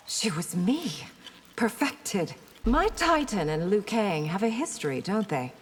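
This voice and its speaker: A woman playing a proud, wary warrior princess in a video game, with a husky, breathy voice and a pointed manner. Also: medium pitch, very animated, a little slow. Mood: guarded, challenging. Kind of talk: video game character acting. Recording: long hall-like reverb.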